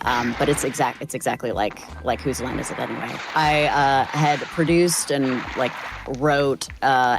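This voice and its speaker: A young American woman with a low voice, talking casually and informally as an interview guest, often saying "like". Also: background noise. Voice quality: low-pitched voice. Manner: informal, chatty, heavy use of "like". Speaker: voice actor being interviewed. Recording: music playing behind the voice. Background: background music.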